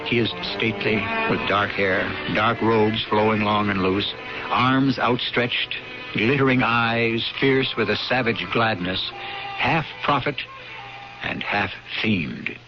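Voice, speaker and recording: Dramatic low-voiced man hosting old radio theater. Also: over music. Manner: dramatic, portentous, deliberate phrasing. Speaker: host and narrator. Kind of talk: scripted theatrical radio narration. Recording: dull old radio broadcast.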